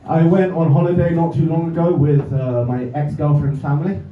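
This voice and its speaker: A man's voice: fairly high pitch, level tone, fairly quick, a little hiss or hum. A young English man doing a stand-up set with dry, self-mocking asides, recorded from a distance in a comedy club. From England. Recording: roomy, distant, slightly dull club recording. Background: audience laughter.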